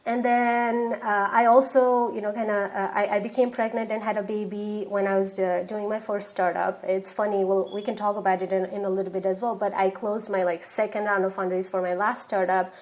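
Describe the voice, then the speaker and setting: A woman's voice: medium pitch, little pitch movement, a little fast, hardly any pauses. A woman in her thirties from Nepal, speaking as an interview guest, chatty and full of fillers and restarts. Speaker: guest, startup founder. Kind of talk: casual interview talk.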